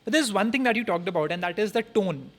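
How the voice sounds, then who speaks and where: A young man hosts the conversation, his voice pitched high for a man. He asks short, curious questions in a relaxed, chatty way. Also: fast. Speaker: podcast host. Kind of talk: casual interview chat.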